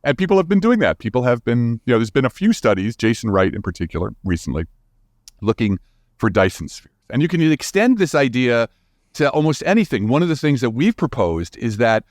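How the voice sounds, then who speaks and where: A man's voice: mid-range voice, sing-song, fairly quick, dry recording, clean studio sound. A man talking as a guest scientist in an interview, explaining in an informal, emphatic way and thinking aloud as he goes.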